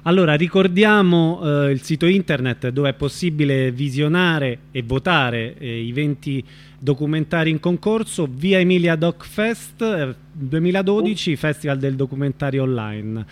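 A man's voice: a little high, lively intonation, moderate pace. A man hosting a live radio interview, informal and loosely phrased, with hesitations as he makes announcements and puts questions. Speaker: radio show host.

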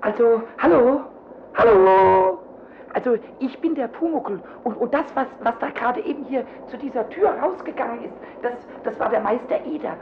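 A man voicing a small, childlike, impish kobold in a German children's radio play, squeaky and scratchy, stammering excitedly. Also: normal speed, roomy sound. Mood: eager, coaxing. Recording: muffled, noisy old radio play recording.

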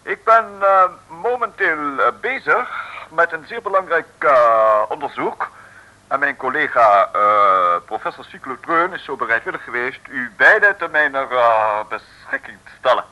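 An actor playing a pompous, politely sinister professor in an old radio serial, speaking formally with many hesitant ehs. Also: male voice, mid-range voice, lively intonation, a little slow, sharp tone, low-quality recording. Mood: formal, self-important.

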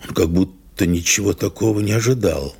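A man's voice: sits low, moderate pace, darkish tone, husky. A man reading scripted narration as the storyteller of an audio drama. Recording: music under the narration.

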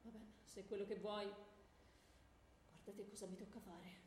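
A woman's voice: mid-range voice, fairly even intonation, normal speed, darkish tone, lightly acted. A woman playing a glib, theatrical Hephaestus in a radio stage comedy, wordy, declamatory and mocking. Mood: wary, then amazed. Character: glib, theatrical player voicing Hephaestus, the axe-wielding smith god. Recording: echoey room, faint background noise.

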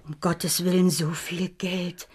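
An actress playing a humble young lady's maid in a radio play, speaking softly and with deference. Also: fairly low pitch, little pitch movement, slow, noisy background. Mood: humble, grateful. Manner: deferential and soft-spoken.

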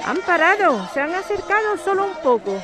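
A woman's voice: extremely high pitch, sing-song, a little fast, a crowd in the background. A woman playing an anxious, protective middle-aged mother at an eviction protest in a radio drama.

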